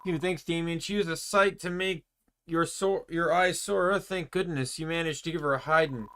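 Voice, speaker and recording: A man's voice: fairly high pitch, steady tone, normal speed, a bit bright, natural voice. A man reading the part of an anxious, chatty game creature in a flustered, colloquial, dramatic way. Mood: relieved.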